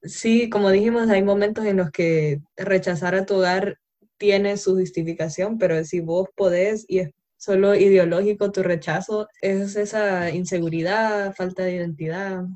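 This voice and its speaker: A woman's voice: medium pitch, fairly even intonation, moderate pace, a little mellow. A young woman with a Honduran Spanish accent, earnestly explaining and arguing her point in a casual conversation. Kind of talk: casual conversation on social issues.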